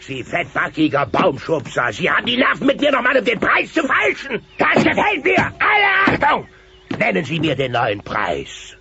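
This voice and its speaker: An actor playing a scheming, greedy dinosaur company boss in a children's audio drama, theatrical and condescending. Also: male voice, high voice, huge pitch swings, moderate pace, vocal fry, bright, forceful tone, full character voice. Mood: indignant, blustering. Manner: theatrical, mock-sympathetic, condescending. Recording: dull lo-fi cassette transfer.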